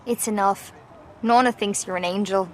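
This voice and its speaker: An actress playing a friendly, chatty young neighbour of the 1930s in a game cutscene, eager in manner. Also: female voice, medium pitch, fairly even intonation, normal speed, natural voice, low-quality recording. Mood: friendly, excited. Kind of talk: video game cutscene acting. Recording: faint outdoor street noise.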